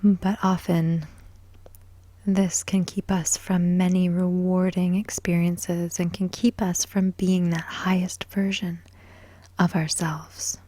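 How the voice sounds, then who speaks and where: Hushed, breathy woman guiding slow sleep hypnosis. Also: lowish voice, little pitch movement, no echo. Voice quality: soft, breathy, whispery. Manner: soothing, measured, with long pauses between short phrases. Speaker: hypnosis and meditation guide.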